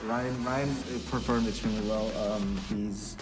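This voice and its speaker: A man's voice: lowish voice, steady tone, measured pace, music in the background. A man from the United States introducing himself as a competitor, hesitant with fillers. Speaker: competing player.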